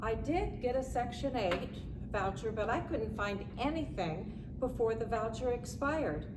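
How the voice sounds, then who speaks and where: A woman's voice: on the high side, lively intonation, a little slow. A woman playing a weary 67-year-old homeless woman in a readers theatre dramatic reading. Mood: resigned, plaintive. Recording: roomy, distant mic, noisy ambience.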